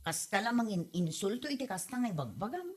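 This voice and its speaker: A woman's voice: medium pitch, lively intonation, fairly quick, husky. A woman acting an offended, plaintive village wife in a comedy audio drama, with an Ilocano accent. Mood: hurt, plaintive.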